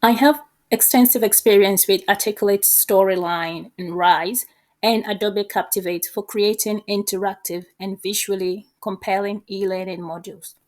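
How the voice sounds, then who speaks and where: A woman answering as a job candidate, with formal, measured delivery of rehearsed interview answers. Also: medium pitch, fairly even intonation, darkish tone.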